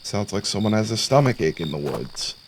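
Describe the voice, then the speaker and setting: A man's voice: fairly low pitch, sing-song, fairly quick, a little hiss or hum, rough recording. A man giving casual let's play commentary on a horror game, sarcastic and jokey as he mutters to himself. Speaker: gaming content creator. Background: horror game sounds of crickets and insects.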